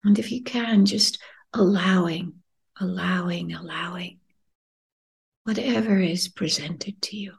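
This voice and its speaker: A woman leading a guided meditation in a gentle, soothing, breathy voice that often drops near a whisper, unhurried with long pauses. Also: fairly low pitch. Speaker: podcast host and meditation guide.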